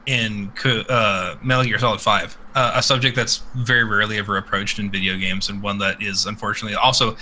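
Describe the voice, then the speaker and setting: A man with a very bright, sharp, forceful voice chats on a podcast. He talks bluntly, swears freely and rambles from point to point, with faint noise behind him. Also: on the low side, fairly even intonation, fairly quick, hardly any pauses, poor audio. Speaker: podcast host. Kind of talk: casual podcast chat. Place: live multistreamed podcast.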